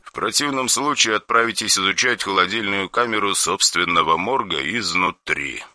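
A man voicing a cold, menacing male caller who speaks in a dry, hard, flat voice. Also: deep voice, normal speed, dry recording. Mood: cold menace. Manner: flat, quiet, threatening.

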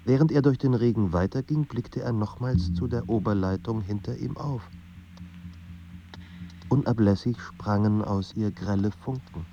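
Brooding radio drama narrator, dark soft-edged timbre, measured, dramatic pauses, muffled sound with faint noise. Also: male voice, lowish voice. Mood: grave, brooding. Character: literary narrator of a troubled young writer's life, grave and brooding.

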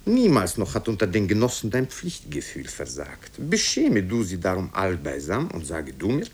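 A man's voice: sits low, wildly swooping pitch, normal speed, breathy edge, heavily acted. Man putting on a florid, anxious schoolteacher. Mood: jubilant urgency. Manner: florid, pompous, wheedling. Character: fawning, anxious male schoolteacher, pompous and pleading.

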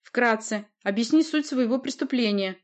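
An imperious young sorceress from a fantasy storybook, acted out by a woman narrator. She speaks in a raised, commanding voice, sharp and insistent, defiant toward anyone who doubts her. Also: on the high side, lively intonation, brisk, pitched above the performer's own voice, close to an everyday voice. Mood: cold, threatening.

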